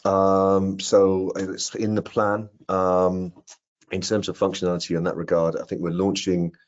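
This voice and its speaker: A man's voice: sits low, little pitch movement, measured pace. A middle-aged man answering technical questions informally on a video call, often repeating words as he explains. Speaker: product presenter answering audience questions. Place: indoor room on a video call. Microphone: video call microphone. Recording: dull, band-limited video-call audio.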